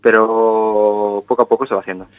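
A man's voice: fairly low pitch, level tone, moderate pace, dry recording. A young man from Galicia talks over a tinny phone line to a radio show. He is easygoing and laughs readily. The call sounds dry and narrow. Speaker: trial rider, guest on the phone.

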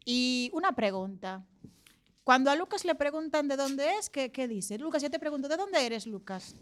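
A fairly high-voiced woman hosts a radio show from the studio. She teases her young guests playfully and breaks into laughter often. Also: animated, normal speed. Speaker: radio host. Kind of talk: casual radio interview with children.

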